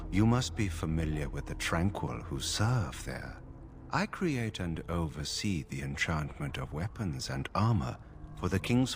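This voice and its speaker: A placid mage enchanter from a fantasy video game. His voice is very low and moves slowly, formal and detached, with noticeable ambience behind it. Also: noisy background, exaggerated voice. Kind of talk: scripted fantasy game dialogue. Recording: game audio with clear background ambience.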